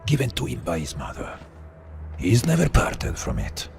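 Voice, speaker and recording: An actor playing a suave, menacing mafia kingpin with an Italian accent and theatrical courtesy in a video game cutscene. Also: male voice, deep voice, huge pitch swings, moderate pace, gravelly, darkish tone, soft background music, exaggerated voice. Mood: quietly threatening. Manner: theatrical, silky, courteous with veiled threat.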